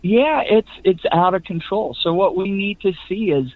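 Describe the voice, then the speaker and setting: A man's voice: high voice, lively intonation, moderate pace. A man calling in to a radio show, earnestly making a rambling point. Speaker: caller. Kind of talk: call-in radio comment. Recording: dry tinny phone line.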